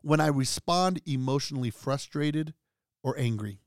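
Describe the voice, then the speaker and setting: A man's voice: mid-range voice, normal speed, clean tone, no room sound, studio quality. A man co-hosting a podcast, chatting casually and walking through a list with an upbeat manner and frequent restarts. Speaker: podcast co-host.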